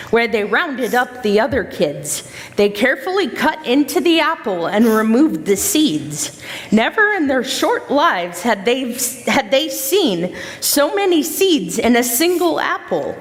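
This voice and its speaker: A woman narrates a storybook tale for readers theater. Her voice is fairly high and very expressive, taking its time, with the long reverb of a hall.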